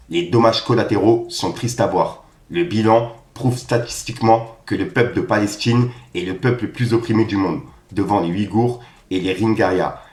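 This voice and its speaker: A man's voice: lowish voice, roomy sound. A man hosting a casual rap news roundup, reading posts aloud in street slang.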